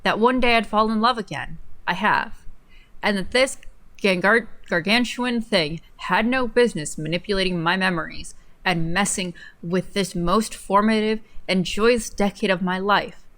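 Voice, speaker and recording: Young woman streamer, average pitch, dry little interjections, casual chat on a desk mic. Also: moderate pace, a bit bright. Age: young adult. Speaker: co-host of a livestream. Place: small home room, indoors. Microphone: desk microphone on boom arm.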